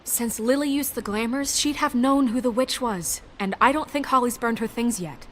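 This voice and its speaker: An actress playing a composed, practical young deputy in a video game, firm, earnest and assertive. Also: female voice, a little high, moderate pace, slight background noise, lightly acted. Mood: determined.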